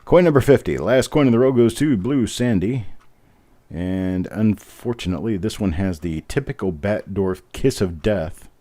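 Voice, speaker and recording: Deep-voiced, dark-toned man hosting casually. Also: normal speed, no echo. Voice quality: deep smooth voice. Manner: relaxed, chatty, self-deprecating jokes. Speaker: host of a live coin roll reveal. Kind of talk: casual live-stream commentary.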